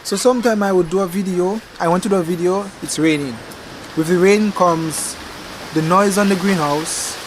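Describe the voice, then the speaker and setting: Young man, rambling, self-correcting vlog chat, slightly raised pitch, faint yard ambience behind. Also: normal speed, background noise. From Dominica. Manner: relaxed and chatty, self-correcting, laughs at his slips. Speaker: gardening channel host. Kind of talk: casual rambling chat to camera. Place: outdoors in a backyard garden. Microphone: camcorder microphone.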